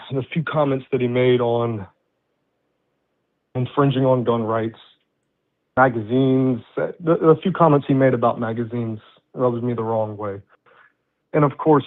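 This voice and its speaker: A man in his thirties calling into a political stream, laying out his view in a measured, hesitant way with frequent restarts. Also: medium pitch. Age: 30s. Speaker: caller. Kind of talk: casual debate on a call. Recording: tinny phone-like call audio.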